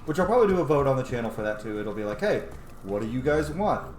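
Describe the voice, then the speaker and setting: A man's voice: mid-range voice, big pitch swings, fast, a little hiss or hum. A man in his thirties giving casual, gushing gameplay commentary as a streamer, sometimes swearing. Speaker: let's play streamer. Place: small room at home, indoors. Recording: loud game music behind, roomy sound. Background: video game music.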